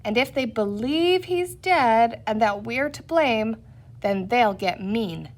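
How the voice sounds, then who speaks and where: A woman's voice: on the high side, expressive, takes it slowly. A woman reading the part of a sensible young girl, explaining things earnestly and patiently in a children's story. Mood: worried, explaining.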